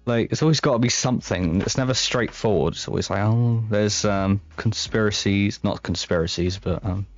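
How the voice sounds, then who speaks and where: A man's voice: on the low side, normal speed, dry recording, dull audio, faint music behind. A man co-hosting a casual podcast, rambling and hesitant, with plenty of fillers. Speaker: podcast co-host. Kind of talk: casual chat.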